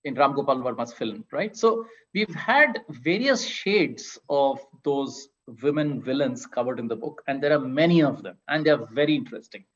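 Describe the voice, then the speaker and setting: A man's voice: medium pitch, lively intonation, normal speed, hardly any pauses, highs cut off. A man with an Indian accent speaking as an author on a discussion panel, emphatic and often checking with "right?".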